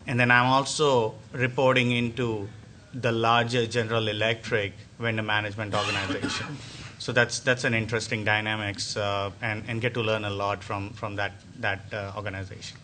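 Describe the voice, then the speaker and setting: A man seated at a panel table introduces himself into a table microphone, his voice bright and forceful but held to a flat, narrow pitch. He speaks in a measured way, pausing often on fillers, with faint room noise behind him. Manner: measured, with frequent filler pauses. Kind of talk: self-introduction at a conference panel.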